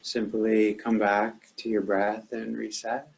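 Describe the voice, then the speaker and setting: Male meditation teacher, lower-pitched voice, calm and measured, leaving long pauses between instructions. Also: vocal fry.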